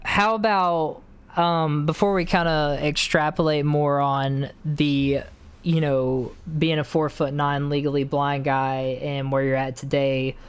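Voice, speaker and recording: A man's voice: a little high, little pitch movement, unhurried, clear, resonant tone. A young man interviewing his longtime friend over a video call, laid-back and rambling. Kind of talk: casual interview chat. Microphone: video call mic.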